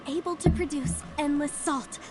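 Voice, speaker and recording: An actress playing an earnest, principled young woman adventurer in a video game, expressive and emphatic. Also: high voice, normal speed, lightly acted. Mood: impressed. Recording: game dialogue under music.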